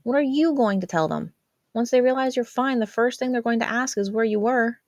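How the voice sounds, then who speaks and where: Teenage older sister in an audio story, female reader, raised pitch, worried, bossy, emphatic scolding. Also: a little fast, darkish tone, dry recording, clean studio sound, close to an everyday voice. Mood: insistent. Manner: chiding, emphatic.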